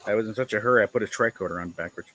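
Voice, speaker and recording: A man's voice: lowish voice, rapid-fire, a bit bright. A man hosting a casual livestream chat in a laid-back way, often agreeing and murmuring along. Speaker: stream host.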